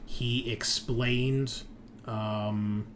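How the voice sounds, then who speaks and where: A man working as game master, recapping and narrating a tabletop game session in a halting, conversational way, thinking aloud. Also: mid-range voice, steady tone, a little hiss or hum.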